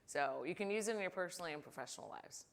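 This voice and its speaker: A woman's voice: lowish voice, expressive, fast. A woman business leader speaking on a conference panel, upbeat and conversational, sharing a personal story. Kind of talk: panel discussion. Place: conference stage.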